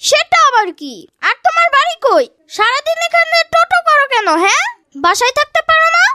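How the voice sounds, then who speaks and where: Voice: very high voice, very animated, brisk, music in the background. A performer voicing a cocky, scrappy little boy in a comic cartoon, scolding and cheeky. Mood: cheeky, impatient. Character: cocky, scrappy little boy who bosses grown-ups. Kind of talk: Bengali comic cartoon dialogue. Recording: loud background noise.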